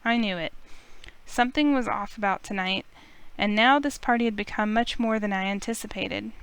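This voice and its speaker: A woman's voice: mid-range voice, normal speed, a bit bright, clear, resonant tone, no echo, lightly acted, rough recording. A woman playing an earnest, anxious young heiress, narrating her own story in a visual novel. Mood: uneasy, reflective. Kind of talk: scripted first-person visual novel narration.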